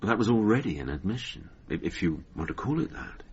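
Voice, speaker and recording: An actor playing a calm, earnest male hypnotherapist in a radio drama, measured and reassuring as he questions and soothes. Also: on the low side, breathy edge, dull audio, exaggerated voice. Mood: calm, probing.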